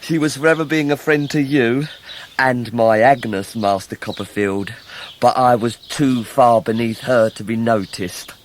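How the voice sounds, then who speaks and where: Radio drama villain: male actor as fawning, scheming English clerk, mid-pitched, insinuating, noisy background. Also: measured pace, close to an everyday voice. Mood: resentful, insinuating. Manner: obsequious, insinuating. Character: oily, falsely humble, scheming male clerk, jealous and insinuating. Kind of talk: full-cast radio drama acting.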